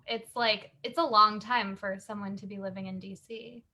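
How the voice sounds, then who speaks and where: A woman's voice: medium pitch, fairly even intonation, moderate pace, bright, forceful tone. A woman from the San Francisco Bay Area who owns a small business, hosting a live online workshop in easygoing casual chat, often laughing and trailing off.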